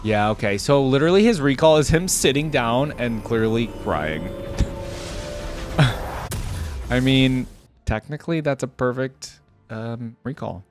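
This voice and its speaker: A man's voice: medium pitch, lively intonation, a little slow, pauses often, over music. A man giving casual, off-the-cuff reaction commentary, like a video creator talking through what he sees.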